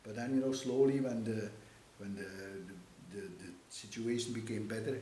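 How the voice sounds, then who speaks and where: A man in his seventies from Belgium with a Flemish accent, a native Dutch speaker, telling history in an interview in a halting, repetitive way as a missionary priest. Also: medium pitch, mellow. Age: 70s. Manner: halting, repeats phrases and affirmations. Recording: echoey room with background hiss.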